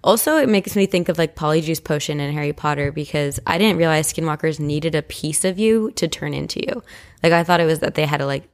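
Female podcast co-host, slightly low register, conversational, reads listener stories in a casual way. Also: fairly quick. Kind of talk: casual chat, reading listener stories aloud.

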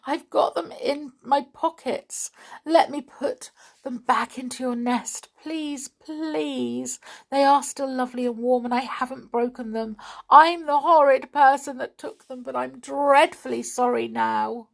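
Storybook doll, mischievous but sorry, earnest and high, voiced by a woman. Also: normal speed, creaky. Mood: sobbing remorse. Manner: earnest, pleading. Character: naughty girl doll, now remorseful.

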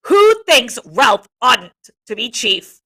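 A woman voicing a defiant, resentful schoolboy in a storybook read-aloud, forceful and accusing as he challenges his leader. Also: on the high side, lively intonation, measured pace, voice pushed up. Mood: menacing, challenging.

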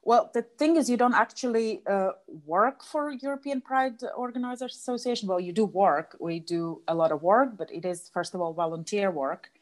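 A woman's voice: medium pitch, normal speed, few pauses. A woman in her thirties with a Latvian accent, a guest answering interview questions in a hesitant way with many fillers and restarts. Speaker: guest, association president. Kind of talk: casual interview.

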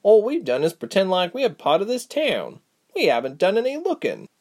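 Storybook role: a man doing a sharp-tongued woman healer, high-pitched, firm and level, with lively swings. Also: moderate pace, exaggerated voice. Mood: exasperated. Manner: calm, level, scolding. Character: blunt, sharp-tongued woman healer, calm but livid.

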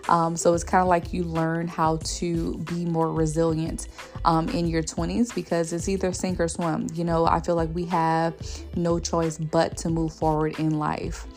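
A woman's voice: low voice, little pitch movement, measured pace, darkish tone, faint music behind. A woman, hosting her own podcast in a casual, chatty way and speaking straight to her listeners. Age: 20s. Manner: chatty, addresses listeners as y'all, many ums. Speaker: podcast host. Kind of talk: casual solo podcast chat.

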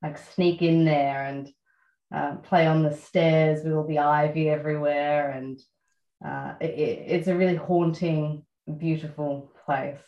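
An Australian woman in her thirties, a writer being interviewed, talking reflectively with many pauses and fillers. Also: low-pitched, fairly even intonation, roomy sound, highs cut off. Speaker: guest writer. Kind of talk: relaxed interview conversation.

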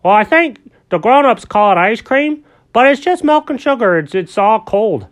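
A woman's voice: on the high side, expressive, normal speed, pitched above the performer's own voice, lightly acted. A woman voicing a kindly adult man who patiently explains things to his cat. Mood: coaxing. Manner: gentle, patient and coaxing. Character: patient kindly adult male pet owner.

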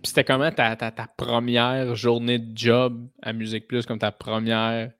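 A man with a Quebec French accent chats as an interviewer in a very dry-sounding recording. His pitch is average for a man, and his phrasing is informal and tentative. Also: flat delivery, normal speed, fairly bright tone, clean tone, dry recording. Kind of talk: casual conversational interview.

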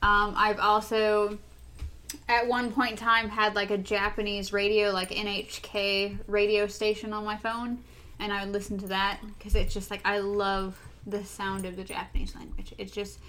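A woman's voice: medium pitch, level tone, normal speed, bright, forceful tone, poor audio. A woman chatting casually about anime, rambling a little with fillers.

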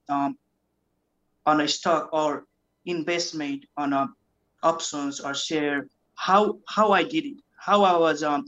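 A man's voice: a little high, a bit bright. A man from Nepal telling his story as a podcast guest, talking in a casual, rambling way and often repeating words. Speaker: guest investor. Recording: muffled lo-fi audio.

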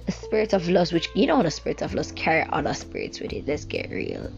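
Gravelly Jamaican woman giving a devotional. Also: sits low, big pitch swings, brisk. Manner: earnest, rambling, with frequent fillers. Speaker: devotional speaker. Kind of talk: informal devotional talk. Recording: dull lo-fi recording with music behind.

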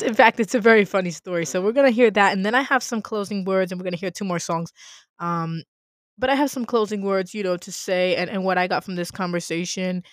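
A woman's voice: mid-range voice, no echo. Woman host setting up a clip, laid-back, lots of ums, dead dry room. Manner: relaxed, with frequent fillers and back-channel laughs. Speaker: podcast host. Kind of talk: introducing a segment, casual chat.

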